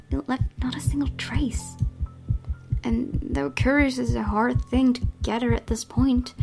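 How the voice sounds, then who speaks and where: A woman reading a visual novel aloud as dramatic first-person narration, often hushed at phrase ends and halting over words. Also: a little high, creaky. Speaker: let's player reading a game aloud. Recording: noisy home recording with clicks.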